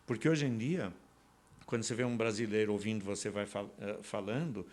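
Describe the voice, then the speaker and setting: A man's voice: lowish voice, brisk, a little mellow. A man from Portugal, a guest in an interview, explaining his views in a conversational, digressive way with asides and tag questions.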